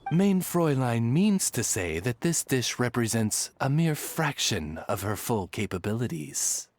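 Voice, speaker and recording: Stately, expressive talking familiar. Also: male voice, mid-range voice, unhurried, no room sound. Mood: politely explaining. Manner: courteous, stately, formal. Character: dignified talking familiar, butler-like, loyal, formal interpreter. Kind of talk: game character acting.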